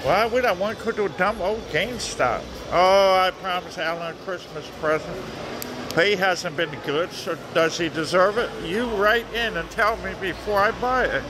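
A man's voice: high-pitched, normal speed. A man casually narrating a walk through a busy shopping mall on a handheld phone camera, joking and rambling as he goes. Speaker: vlogger. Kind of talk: casual walking vlog. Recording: noisy mall ambience.

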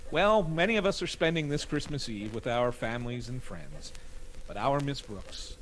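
A man's voice: mid-range voice, big pitch swings, moderate pace, fairly bright tone, slight background noise, poor audio. A man playing a hearty announcer in a 1950s radio comedy style, reading a scripted introduction. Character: hearty old-time radio show announcer.

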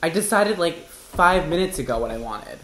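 A man's voice: a little high, lively intonation, moderate pace, a bit bright, echoey room, a little hiss or hum. A man in his twenties with an American accent, giving casual reaction commentary as host in a sassy, exclamatory, campy way. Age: 20s. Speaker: host, hairdresser. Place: indoor studio set at a desk.

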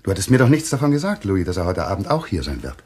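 An actor playing an earnest, hesitant doctor troubled by a nightmare in a radio play. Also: male voice, sits low, big pitch swings, racing, a crowd in the background. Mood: grave, hesitant.

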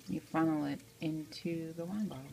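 Low, gravelly-voiced woman, casual tutorial. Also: steady tone, moderate pace, mellow. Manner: informal, checks in often with "okay". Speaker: amateur how-to video maker. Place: home kitchen, indoors at the stove. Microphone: handheld phone behind the camera. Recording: noisy handheld home recording, clicks.

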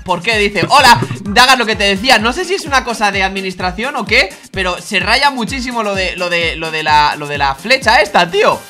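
A young man with a Spanish accent from Spain, commentating excitedly on a game with friends and repeating his phrases. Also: high-pitched, brisk, hardly any pauses. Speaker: gaming YouTuber. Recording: music playing behind the voice. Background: background music.

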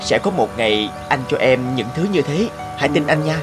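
A man plays a modest young boyfriend in a cartoon, gentle and devoted. His voice is medium-pitched, with some music in the background. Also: lively intonation, moderate pace, natural voice. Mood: tender reassurance. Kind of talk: cartoon dubbing.

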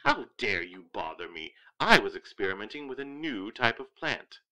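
A man's voice: on the low side, very animated, normal speed, sharp tone, exaggerated voice, poor audio. An actor playing a pompous, florid and dreamy male photographer sidekick in a radio detective drama. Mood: haughty.

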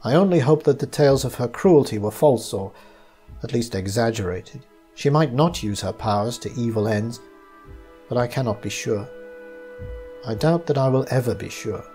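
A man reading his own first-person story as scripted narration, in a measured, literary way. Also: mid-range voice, animated, dark tone, faint music behind.

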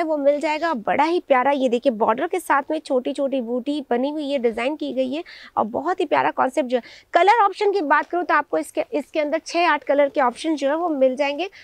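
A young woman with a high, bright-sounding voice presents sarees in a shop, talking eagerly and directly to her viewers. The recording is dry and close, with little room sound. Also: dry recording. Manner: chatty, enthusiastic, addresses viewers directly. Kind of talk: casual product showcase.

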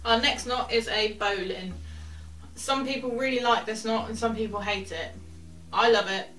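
A woman's voice: fairly high pitch, moderate pace, slight background noise, rough recording. Chatty young woman teaching knots. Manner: step-by-step, chatty, drops to quiet asides while working the rope. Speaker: sailing instructor. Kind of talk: knot-tying tutorial. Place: small wooden garden cabin, indoors.

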